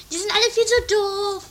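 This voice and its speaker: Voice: extremely high pitch, sharp tone, heavily acted. A performer voicing Doris, a dramatic, boastful and scatterbrained woman, in an improvised trash comedy radio play. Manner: rambling, muddled, self-satisfied. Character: Doris, dramatic, scatterbrained, boastful sister, self-styled immortal. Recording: noisy amateur 1980s tape.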